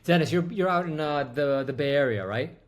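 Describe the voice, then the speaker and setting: A man's voice: on the high side, a little fast. A man hosting an interview show, casual and rambling, with lots of ums and restarts. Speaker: podcast host.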